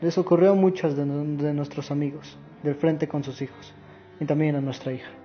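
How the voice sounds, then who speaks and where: A man's voice: a little high, fairly even intonation, moderate pace, natural voice. A man playing an earnest, sorrowful old war veteran and grandfather in a radio drama monologue. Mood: earnest, reflective. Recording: muffled audio with music underneath.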